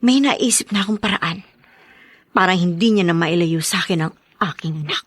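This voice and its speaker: A woman's voice: fairly low pitch, normal speed, fairly bright tone, breathy edge, no echo, clean studio sound. An actress playing a resentful, scheming young mother in a radio drama, with a Filipino accent.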